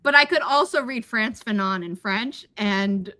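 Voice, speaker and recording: A woman's voice: mid-range voice, a little slow. A woman chatting casually and telling personal stories, animatedly acting out bits of dialogue. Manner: animated, acts out quoted dialogue. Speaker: livestream host. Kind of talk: casual chat, personal anecdotes.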